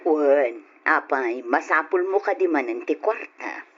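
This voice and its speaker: A man's voice: fairly high pitch, animated, measured pace, fairly bright tone. A man playing an agreeable, doting partner in an old Ilocano radio drama, on a dull, faintly noisy recording.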